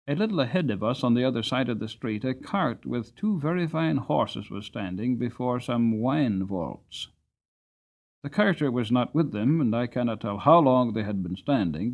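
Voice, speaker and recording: A man reading a classic story aloud as a narrator, in an expressive, dramatic storytelling style. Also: medium pitch. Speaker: audiobook narrator.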